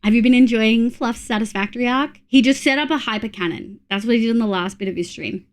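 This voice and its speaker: A young woman streaming, chatting with viewers in a relaxed, supportive way while congested from a cold. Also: a little high, quick pace. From Australia. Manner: chatty, supportive, thinks aloud with fillers. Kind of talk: casual chat reading viewer messages.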